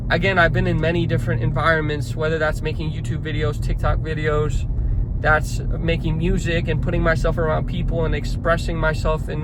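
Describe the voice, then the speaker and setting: A young man talking to camera from his car on his way to lunch, rambling and reflective, restarting his sentences often. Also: on the high side. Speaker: vlogger. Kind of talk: casual talking-to-camera vlog. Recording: faint car noise behind.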